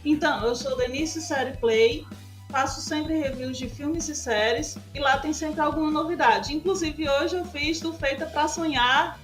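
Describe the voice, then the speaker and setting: A woman who reviews films and series, speaking briskly as co-host of a casual podcast and asking the questions. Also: high voice, roomy sound, soft background music.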